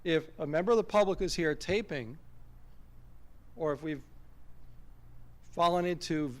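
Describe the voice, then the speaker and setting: A man speaking earnestly and deliberately as a member of the public at a local meeting, arguing his point at a microphone. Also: on the high side. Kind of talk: public comment speech at a local government meeting. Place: town committee meeting room. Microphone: standing at a public microphone.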